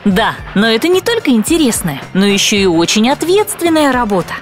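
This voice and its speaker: A woman plays a patient female guide in a children's cartoon. Her high voice swings melodically as she encourages and instructs, with music playing behind. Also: big pitch swings, fairly quick. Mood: instructive. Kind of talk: scripted educational cartoon dialogue for small children.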